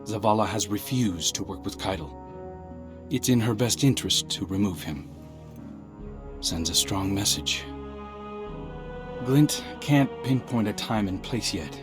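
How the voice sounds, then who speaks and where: An actor playing an earnest, wry undercover scout in a video game, talking in an urgent, conversational way. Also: male voice, lowish voice, expressive, measured pace, pauses often. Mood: grave, urgent. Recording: loud music behind. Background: game soundtrack music.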